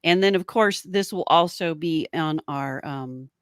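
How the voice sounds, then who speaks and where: A woman's voice: deep voice, measured pace, no echo, clean studio sound. A woman co-hosting a podcast, chatting casually and informally, rambling along with a giggly streak. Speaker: podcast host. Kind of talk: casual live-stream chat.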